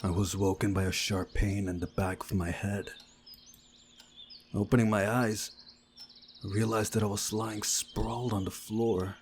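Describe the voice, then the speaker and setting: Slow, low-voiced man reading aloud. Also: little pitch movement, slight background noise. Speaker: visual novel playthrough host and reader. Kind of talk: dramatic reading of visual novel text with casual asides.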